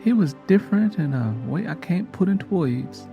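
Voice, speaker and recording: A man's voice: high-pitched, expressive, normal speed, highs cut off, faint music behind, voice pushed up. A man voicing a sorrowful mother who recounts hard family history in a plainspoken, reflective, folksy way. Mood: wistful.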